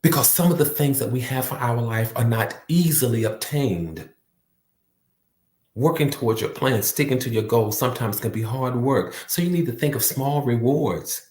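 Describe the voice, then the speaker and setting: A man's voice: mid-range voice, expressive, normal speed, darkish tone, roomy sound. A man giving a motivational talk with a repetitive, preacher-like cadence. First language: not French. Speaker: motivational speaker.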